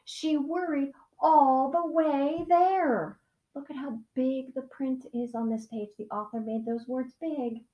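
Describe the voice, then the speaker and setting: A woman teacher reading a picture book aloud to young children, with dramatic emphasis and pauses to ask them questions. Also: high-pitched, fairly even intonation, lo-fi sound. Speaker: pre-K teacher. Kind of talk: expressive children's storybook read-aloud. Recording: echoey room sound.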